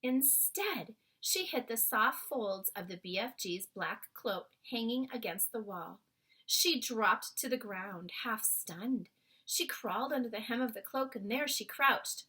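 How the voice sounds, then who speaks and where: Bright, animated woman reading a storybook. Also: a little high, a little slow, breathy edge. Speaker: storybook reader. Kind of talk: expressive scripted narration of a children's story.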